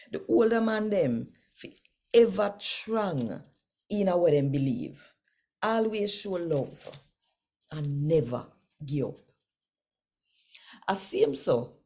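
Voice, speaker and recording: A woman with a Jamaican accent, hosting and reading scripture devotionally in a deliberate, phrase-by-phrase way. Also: deep voice, dark tone, slightly rough. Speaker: host and scripture reader.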